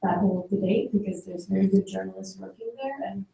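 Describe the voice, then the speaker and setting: A woman's voice: mid-range voice, fairly quick, vocal fry, warm tone. A young woman from Sweden, a photographer, talking reflectively about her path into the work, with frequent fillers. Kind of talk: personal talk about her career. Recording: echoey hall, heard from a distance.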